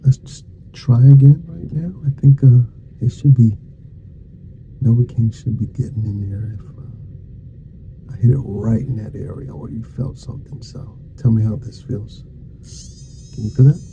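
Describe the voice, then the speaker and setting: Dark, gravelly, muffled man doing dentist ASMR. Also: mid-range voice, unhurried, long pauses. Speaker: ASMR performer playing a dentist. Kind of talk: ASMR dental exam roleplay, casual improvised patter. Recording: dull muffled lo-fi sound with faint noise.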